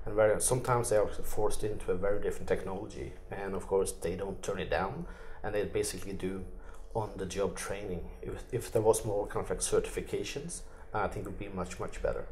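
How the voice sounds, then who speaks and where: A man's voice: low-pitched, fairly even intonation, a little fast, darkish tone, a little hiss or hum. A middle-aged man from Norway with a Norwegian accent, answering interview questions in loose, filler-heavy phrasing. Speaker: interviewee, tech executive.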